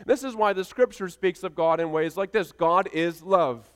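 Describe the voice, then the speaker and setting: A pastor in his thirties delivers a sermon in a raised, clear and resonant voice. He builds emphasis through rhythmic, repeated phrases. His voice carries a long reverb, as in a church sanctuary. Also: high voice, moderate pace. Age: 30s. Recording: reverberant hall sound.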